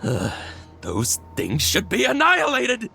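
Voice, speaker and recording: A man voicing a panicked, delirious herb gatherer in a video game, stammering and halting, with nervous giggles. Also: extremely high pitch, huge pitch swings, gravelly, pushed and bright, soft background music, exaggerated voice. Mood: paranoid, rambling.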